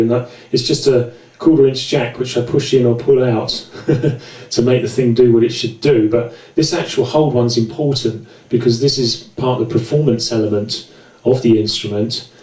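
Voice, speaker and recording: A man's voice: mid-range voice, darkish tone. A man presenting an informal, explanatory music gear tutorial with hesitant, self-deprecating asides. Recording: roomy, echoey, distant mic.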